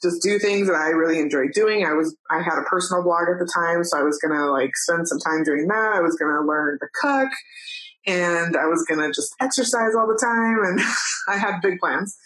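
A woman in her thirties from New Mexico, talking casually as a podcast guest, chatty with many "um"s and soft laughs. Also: on the low side, animated, moderate pace, low-quality recording. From New Mexico, USA. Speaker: guest, photographer and educator. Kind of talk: casual interview chat.

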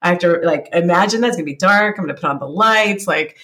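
Animated American woman coaching conversationally. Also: lowish voice, quick pace. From California. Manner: animated, acts out inner dialogue, encouraging. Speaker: coach, podcast guest.

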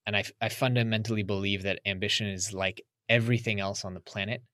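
A man with a lowish voice, talking as a guest in a relaxed interview. He thinks aloud and reflects as he goes. The sound is dry and close, with no room around it. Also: quick pace, dry recording. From United States. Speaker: podcast guest. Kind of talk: casual interview talk.

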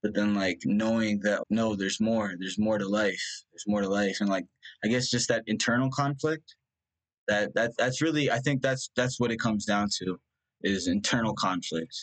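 A young man from India, Punjabi his first language, talks as a guest in a rambling, searching way, leaning on "like" and starting over often. His voice sits a little low. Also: normal speed, fairly bright tone, rough recording. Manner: rambling, full of "like" and restarts. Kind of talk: reflective personal conversation.

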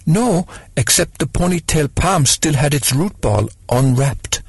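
Man doing a Mexican-accented gardener, deferential, husky, gravelly, storybook reading. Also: a little high, animated, measured pace, no echo, voice pushed up, full character voice. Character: Manuel, deferential middle-aged Mexican gardener.

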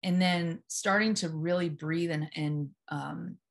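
Woman, low and steady hypnotherapist voice, a touch of fry. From Memphis, US South. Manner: calm, deliberate, steady delivery. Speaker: hypnotherapist at a psych hospital, guest. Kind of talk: casual interview conversation.

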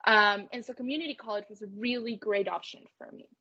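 A woman's voice: medium pitch, fast talker, sharp tone, no echo, highs cut off. A woman from Colombia whose first language is Spanish, speaking earnestly and effusively as an interview guest, telling her story in a conversational way. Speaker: interview guest, tech company co-founder.

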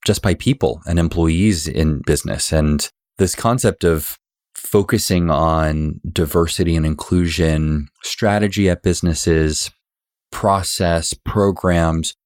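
A man's voice: extremely low pitch, a little mellow, dry recording, studio quality. A man hosting a workplace podcast, reading a scripted intro and then asking earnest, conversational questions. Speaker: podcast host.

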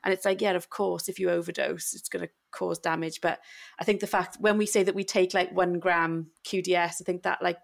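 A woman's voice: on the low side, steady tone, brisk, creaky. A young woman doctor co-hosting a podcast, chatting casually with frequent laughs and restarts. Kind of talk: casual chat.

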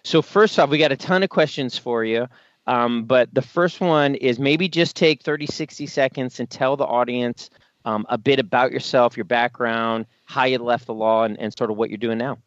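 A man's voice: medium pitch, moderate pace, dry recording. A man hosting an interview, introducing his guest and asking questions in an upbeat, encouraging way. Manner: upbeat, encouraging, lots of affirmations. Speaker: interview host. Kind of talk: hosted interview. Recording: dry, slightly muffled audio.

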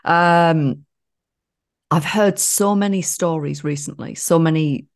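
Female podcast co-host, very low and gravelly voice, playful and jokey. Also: slow. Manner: jokey, playful, swears casually. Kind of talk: casual podcast chat.

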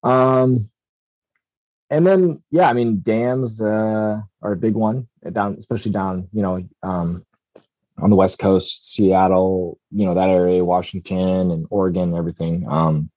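A man's voice: low voice, steady tone, unhurried, no room sound, lo-fi sound. A man from Southeast Alaska, a commercial fisherman chatting casually as a podcast guest, rambling with many ums and restarts.

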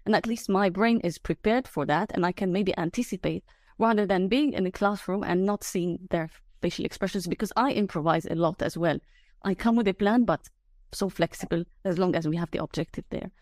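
Soft-spoken woman podcast host. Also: brisk, dry recording, studio quality. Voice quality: low, soft voice. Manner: laughs often, hesitant with fillers. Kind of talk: casual conversational chat.

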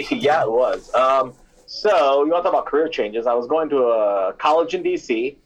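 A man's voice: medium pitch, moderate pace, low-quality recording. A young man, a snack company founder and former radio DJ, answering interview questions in a casual, chatty way, full of "you know" and "like". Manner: chatty and animated, many fillers like "you know" and "like".

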